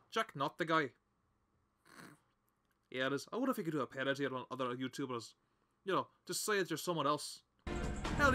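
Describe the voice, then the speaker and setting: A young man in a put-on Irish accent, rambling and correcting himself as he chats through a game. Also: on the high side. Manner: rambling, self-correcting chatter. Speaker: gameplay commentator. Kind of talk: casual gameplay commentary. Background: game music.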